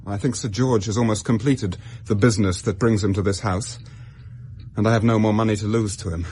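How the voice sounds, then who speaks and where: Husky young gentleman, earnest. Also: fairly low pitch, steady tone, a little fast. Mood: resigned, restrained. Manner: formal, earnest, persuasive. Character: proud, pleading young Victorian gentleman, spendthrift son. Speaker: actor in a radio drama. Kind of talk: radio drama acting.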